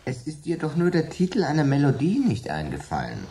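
An actor playing a middle-aged prankster dinner guest in a radio play, teasing and lowering to a menacing hush. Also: male voice, wildly swooping pitch, fairly quick, a little hiss or hum. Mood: musing.

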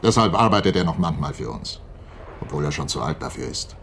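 A man acting in a radio play as a defensive, self-important head of company security who explains himself deliberately. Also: a bass voice, big pitch swings, vocal fry, fairly bright tone, slight background noise, full character voice. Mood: grudging.

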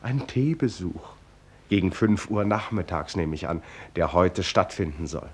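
A man's voice: sits low, animated, a little slow, darkish tone, slightly rough. A man narrating a radio play in an ironic, teasing manner, speaking as if directly to listeners and characters. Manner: ironic, teasing, chuckling asides.

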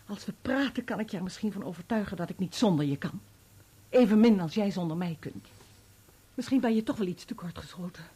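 An actress playing a remorseful, unfaithful wife in a Dutch radio play, speaking earnestly and persuasively. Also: female voice, medium pitch, animated, a little fast, dark tone, slightly rough. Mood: persuasive, resolute. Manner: earnest, emotional, persuasive pleading. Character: unfaithful, remorseful young wife, pleading and resolute.

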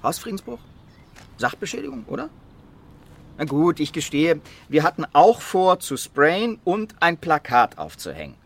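An actor playing a smug, well-off environmental activist in a radio drama, talking in condescending rhetorical flourishes. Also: male voice, fairly high pitch, big pitch swings, moderate pace, vocal fry, heavily acted. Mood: self-righteous. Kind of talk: naturalistic radio drama dialogue.

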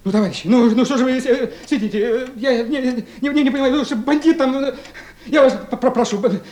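A man's voice: brisk, darkish tone. A man playing a cowardly, breathless, stammering informer in a radio drama, whining and repeating himself in jumbles. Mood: urgent pleading. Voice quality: stammering, high-pitched, breathless. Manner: frantic, whining, jumbled repetitions. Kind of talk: Soviet radio drama acting. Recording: noisy, echoey vintage radio play recording.

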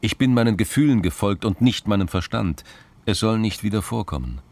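A man narrating a scripted crime audio drama in a measured, literary storytelling manner. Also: lowish voice, animated, a little mellow. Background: music.

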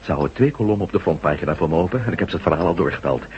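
A headstrong reporter and former astronaut in a radio play. His voice sits low but swings widely as he turns wry or indignant. The old recording is dull, with clear hiss and hum. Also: lively intonation, very fast, a little mellow. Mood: brisk, amused. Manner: indignant, wry, theatrical delivery. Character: headstrong, sardonic male ex-astronaut turned newspaper reporter. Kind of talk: radio drama acting in Dutch.

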